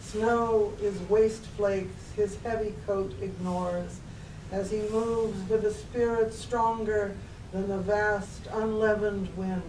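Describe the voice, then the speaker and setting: A woman's voice: medium pitch, fairly even intonation, slow, darkish tone. A woman who is a poet, reciting her own work at a live poetry reading. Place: public library reading room. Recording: echoey room, distant mic, faint noise.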